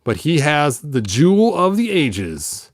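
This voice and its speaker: A man voicing a haughty, jealous villain sorceress in a storybook, bold and deliberate as she bargains. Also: a little high, huge pitch swings, no echo, studio quality, pitched above the performer's own voice, full character voice. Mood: defiant, sly.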